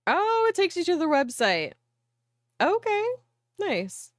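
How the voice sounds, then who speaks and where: A woman's voice: extremely high pitch, sing-song, slow, no room sound, studio quality. A woman hosting a livestream of visual novels, chatting casually and playfully and sometimes reading aloud, in an informal way. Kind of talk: casual game stream chat with reading aloud.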